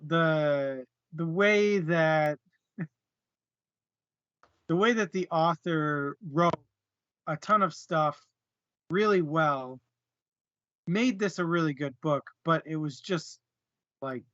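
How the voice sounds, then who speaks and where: A man's voice: fairly high pitch, drawn-out words, pauses often, fairly bright tone, dry recording, muffled recording. A man casually discussing a book as a co-host, hesitant and searching for words, with small chuckles. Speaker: book club podcast co-host.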